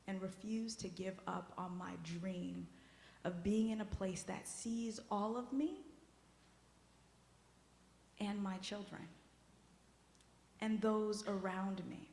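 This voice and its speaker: Heartfelt woman speaking in an echoey sanctuary. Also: mid-range voice. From United States. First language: Haitian Creole. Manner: heartfelt, storytelling, laughs often. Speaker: guest speaker. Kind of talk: personal testimony sermon. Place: church sanctuary. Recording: echoey hall, long reverb.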